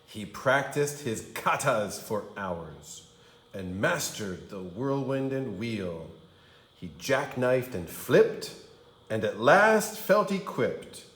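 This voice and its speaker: A man reads a children's storybook in a roomy, echoing space. His delivery is very slow and highly animated, swinging dramatically through the phrases like a kindergarten teacher at story time. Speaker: kindergarten teacher reading aloud. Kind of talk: animated storybook read-aloud.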